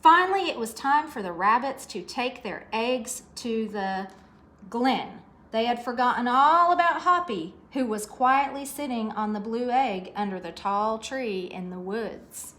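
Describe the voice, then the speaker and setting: A woman's voice: fairly high pitch, expressive, a little slow, a bit bright. A woman reading a picture book aloud to young children, expressively, with chatty asides and questions to her listeners.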